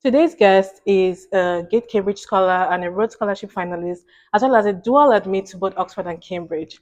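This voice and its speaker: A woman's voice: medium pitch, a little fast, a little mellow, muffled recording. A woman from Nigeria hosting a casual interview, upbeat and encouraging. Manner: upbeat, frequent encouraging backchannels. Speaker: host.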